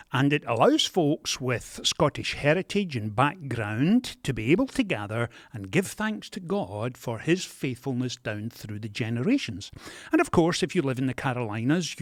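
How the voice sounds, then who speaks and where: A man, a pastor, hosting a church podcast with announcements and gentle jokes. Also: medium pitch, normal speed, dry recording, clean studio sound. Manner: animated, gently joking delivery.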